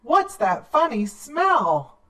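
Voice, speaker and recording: Female reader as storybook frog father: patient, gently exasperated, slow measured delivery. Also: sits high, big pitch swings, far from the mic, voice pushed up, exaggerated voice. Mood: alarmed.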